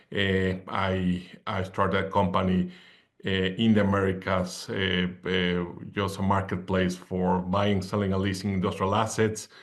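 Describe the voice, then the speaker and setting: Middle-aged man, lowish voice, wry and self-mocking guest. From Mexico. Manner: self-deprecating, wry humor. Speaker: podcast guest. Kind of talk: conversational interview storytelling.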